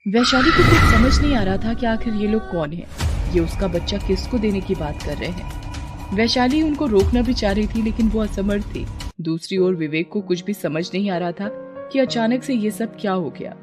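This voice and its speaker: A woman's voice: medium pitch, animated, normal speed, over music. A woman narrating a scripted horror story in a dramatic storytelling style.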